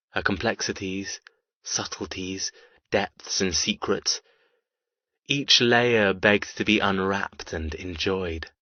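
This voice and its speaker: Casting: male actor as cruel young dark-romance antihero, low voice with a hard, forceful edge, brooding audiobook delivery. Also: dry recording, highs cut off, heavily acted. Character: cold, cruel, menacing young man narrating dark romance. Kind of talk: dramatic first-person audiobook narration.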